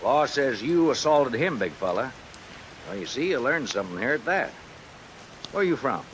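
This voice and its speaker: A folksy, paternal older small-town lawman in vintage radio drama style. He talks down in a wry, fatherly way at a medium pitch. The old recording hisses faintly under rain. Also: big pitch swings, normal speed, fairly bright tone. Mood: lecturing, wry. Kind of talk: 1950s radio drama acting.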